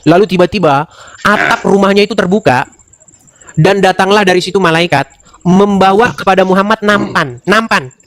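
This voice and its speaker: A man's voice: high voice, normal speed, fairly bright tone, no room sound. A man hosting an online interfaith debate, lecturing in a mocking way and firing off rhetorical questions. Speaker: debate room host.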